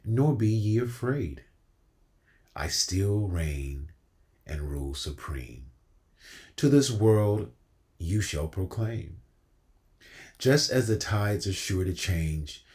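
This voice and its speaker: A man's voice: sits low, darkish tone. A man reciting spoken-word poetry like a preacher, with a solemn, exhortative, rhythmic delivery and emphatic repetition.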